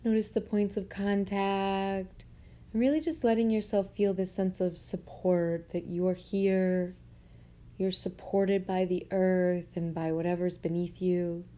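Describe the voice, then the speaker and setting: A woman leading a guided meditation in a soothing, gentle manner, unhurried with long pauses, on slightly muffled audio. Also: mid-range voice, little pitch movement.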